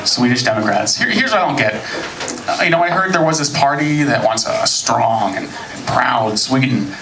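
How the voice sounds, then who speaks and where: An American man in his thirties performing a stand-up comedy set on a club stage, with a dry, deadpan delivery. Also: medium pitch, normal speed, noisy background. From United States. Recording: echoey club recording from far off. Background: audience laughter.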